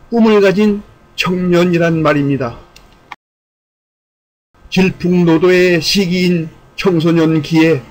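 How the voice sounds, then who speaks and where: A man's voice: on the high side, poor audio. A man delivering scripted commentary in a formal, speech-like way, with an earnest, rhetorical, declamatory manner. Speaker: YouTube channel commentator.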